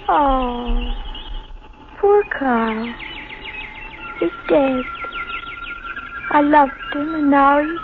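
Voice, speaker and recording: An actress playing an unhinged young wife, childlike and possessive, with plaintive melodrama in a 1950s radio thriller style. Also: female voice, high-pitched, heavily acted. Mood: eerily sorrowful. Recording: noisy, tinny 1950s radio recording.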